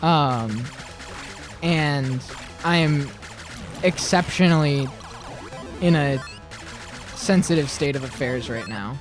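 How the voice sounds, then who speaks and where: A man with a high voice chatting casually on a livestream, blunt and opinionated, swearing freely. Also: takes it slowly, long pauses, over music. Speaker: livestream co-host. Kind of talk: casual chat on a gaming stream.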